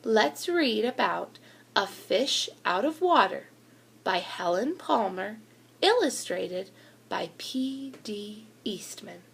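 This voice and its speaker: A woman reading a children's picture book aloud in an animated, deliberate storytelling manner with emphatic delivery. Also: mid-range voice, vocal fry, slight background noise. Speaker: school reader of children's books.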